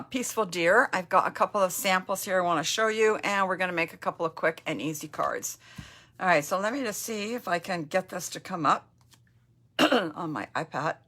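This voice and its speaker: A Canadian woman getting over a cold, with a slight cough, chatting casually and warmly with viewers as she hosts a papercraft live stream. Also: medium pitch. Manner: chatty and friendly, with fillers and asides. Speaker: papercraft demonstrator.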